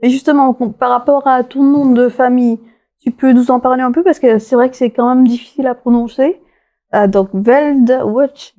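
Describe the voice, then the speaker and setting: Higher, very mellow female interviewer. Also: fairly even intonation, normal speed. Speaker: interview show host. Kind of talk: conversational TV interview.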